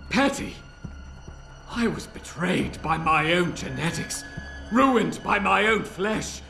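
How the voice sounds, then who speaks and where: Aging visionary inventor, video game drama. Eloquent, deliberate, anguished oratory in a roomy space. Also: male voice, sits high, animated, vocal fry, sharp tone, full character voice. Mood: anguished despair. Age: older. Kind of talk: video game cutscene acting. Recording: roomy game audio with faint music.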